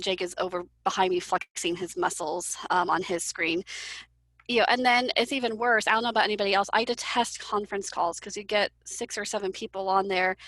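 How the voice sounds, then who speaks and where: A woman who teaches visually impaired children talks through her approach, clear and explanatory, at an ordinary pitch. Her voice is dry with no room echo, as on a video call. She sometimes acts out example phrases to show what she means. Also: fairly bright tone. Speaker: educator for visually impaired students. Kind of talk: conversational webinar discussion.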